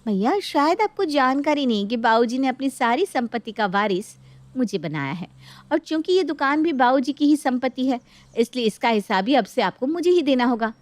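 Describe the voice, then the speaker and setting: A woman's voice: high voice, lively intonation, moderate pace, dry recording. A woman voicing a greedy, bossy younger sister in a dramatized moral story, taunting and mock-polite as she orders her family about. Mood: smug, condescending.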